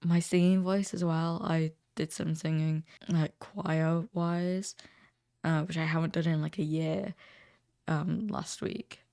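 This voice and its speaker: A teenage man with a crackly, recently deepened voice, chatting casually and ramblingly as a vlogger. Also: little pitch movement, slow, creaky, darkish tone, no room sound, studio quality. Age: teens. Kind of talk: casual chat to camera.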